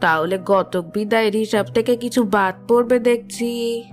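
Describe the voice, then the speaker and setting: An actress playing a shrewd, bantering auntly guardian in an audio drama, questioning in a wry, measured way. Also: female voice, fairly high pitch, steady tone, faint music behind, lightly acted. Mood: wry, amused. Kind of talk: Bengali audio drama acting.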